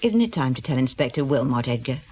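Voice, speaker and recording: A woman acting a sceptical, wry confidante in a radio drama, gently challenging with questions. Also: rumbling low, sing-song, quick pace, slightly rough, exaggerated voice. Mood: questioning, reproachful.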